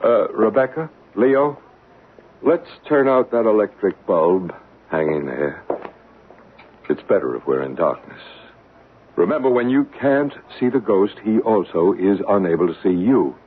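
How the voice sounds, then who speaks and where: An actor playing a male psychic who guides a séance in slow, hushed tones and narrates the story, in a 1970s radio drama. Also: lowish voice, animated. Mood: commanding. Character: calm, soothing male psychic guiding a séance. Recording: narrow, hissy old radio broadcast.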